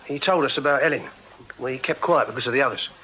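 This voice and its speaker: A man's voice: medium pitch, quick pace, bright, forceful tone, lo-fi sound, natural voice. An actor playing a plain-spoken, earnest young Victorian music-hall strongman in a radio drama, straightforward and deferential. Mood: guarded, earnest. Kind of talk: full-cast radio drama acting.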